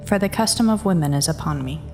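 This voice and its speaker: A woman's voice: low-pitched, quick pace, over music. A woman playing a respectful young daughter in a dramatized scripture reading, deferential and formal.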